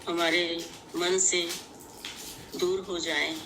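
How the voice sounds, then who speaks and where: A woman leads a guided meditation in measured, deliberate, instructive short phrases. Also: fairly low pitch, level tone, background noise.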